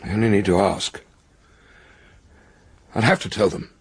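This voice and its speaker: An actor playing a troubled, proud and embittered husband, speaking gravely in a radio drama. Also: male voice, lowish voice, a little slow, a little hiss or hum, natural voice. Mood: bitter, troubled. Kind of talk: naturalistic radio drama acting.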